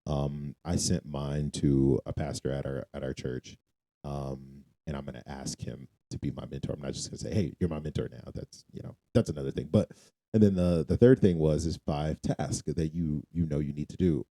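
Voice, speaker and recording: A man's voice: rumbling low, steady tone, normal speed, dark tone, no room sound. A man co-hosting a podcast, talking casually about faith and repeating phrases for emphasis. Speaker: podcast co-host.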